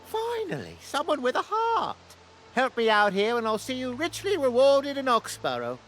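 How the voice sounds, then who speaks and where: An actress playing a self-pitying, wheedling merchant in a video game, complaining with theatrical exasperation. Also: female voice, high-pitched, huge pitch swings, moderate pace, slight background noise, poor audio. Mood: relieved. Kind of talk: video game character acting.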